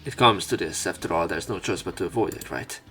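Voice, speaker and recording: Low, gravelly man narrating a visual novel. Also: fast, pushed and bright. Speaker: let's play host reading a visual novel aloud. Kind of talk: dramatic read-aloud narration with casual commentary. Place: voice-over on game footage. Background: faint game music.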